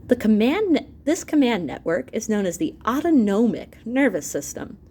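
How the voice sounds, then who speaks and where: A woman reading an educational science book aloud as a teacher, expressive and explanatory, pausing to clarify terms. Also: a little high, breathy edge.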